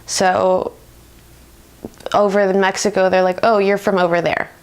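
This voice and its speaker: A woman in her twenties answers interview questions in an American accent. Her voice has noticeable vocal fry and sits at a medium pitch. She talks in an informal, reflective way. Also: flat delivery, measured pace. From United States. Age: 20s. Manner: informal, often laughs while talking. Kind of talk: reflective, conversational personal interview.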